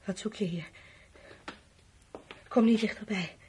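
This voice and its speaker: A woman's voice: medium pitch, slow, a little mellow, a little hiss or hum, natural voice. A woman acting in a radio play as a weary, fearful, brooding survivor of a world war's collapse. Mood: wary, commanding.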